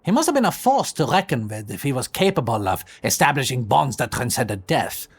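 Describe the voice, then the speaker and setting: A man playing a suave, possessive vampire husband who speaks in smooth, courtly endearments. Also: mid-range voice, sing-song, brisk, soft background music. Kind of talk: audio drama acting.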